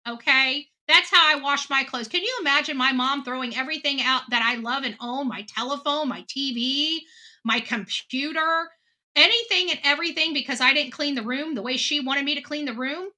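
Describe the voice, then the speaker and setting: A woman in her forties speaks in a high-pitched voice with a very sharp, forceful edge. She delivers impassioned commentary as an online host, unscripted and indignant. She leans hard on her points and repeats phrases for emphasis. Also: normal speed. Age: 40s. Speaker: online commentator hosting a true-crime show. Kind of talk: impassioned off-the-cuff commentary, reading viewer comments.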